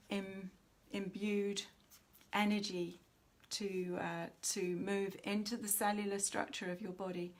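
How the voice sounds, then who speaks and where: A woman with a medium-pitched voice leads a spiritual card reading for her viewers. She speaks slowly and with care, often pausing partway through a sentence before carrying on. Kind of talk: live spiritual oracle reading, addressing viewers.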